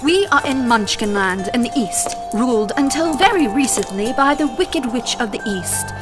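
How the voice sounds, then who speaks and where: A woman's voice: a little high, lively intonation, close to an everyday voice. An actress playing a kindly, commanding good witch who speaks formally in an audio drama. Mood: grave, explaining. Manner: authoritative, formal, measured. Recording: music under the voice.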